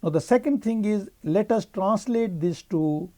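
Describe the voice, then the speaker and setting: A man whose first language is Urdu narrates a computer tutorial over his screen recording. He explains each click in a steady, methodical way. His voice is warm and mellow. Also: high-pitched, a little slow. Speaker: software tutorial presenter.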